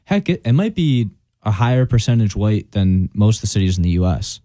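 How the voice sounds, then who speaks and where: A man's voice: fairly low pitch, big pitch swings, a little fast, mellow, no echo, studio quality. A young man in his twenties, a college student and guest, chatting casually and rambling on with frequent laughs. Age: 20s. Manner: easygoing, rambling, laughs often, keeps saying "I'll tell you what". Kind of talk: casual on-air chat.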